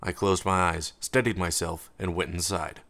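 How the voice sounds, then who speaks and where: A man reading first-person fiction as an audiobook narrator, in a measured, dramatic way. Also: sits low, fairly even intonation, vocal fry, fairly bright tone.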